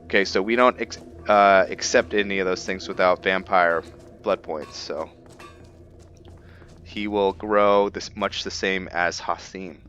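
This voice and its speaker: Low-voiced, unhurried man doing game commentary. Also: steady tone, fairly bright tone, dull audio, slight background noise. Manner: thinking aloud, hesitant searching for words. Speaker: gaming video host. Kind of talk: casual roleplay gameplay commentary.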